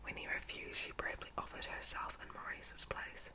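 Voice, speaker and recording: A woman reads a children's storybook in a breathy ASMR whisper, halting now and then to correct herself. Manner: halting reading with slips and self-corrections.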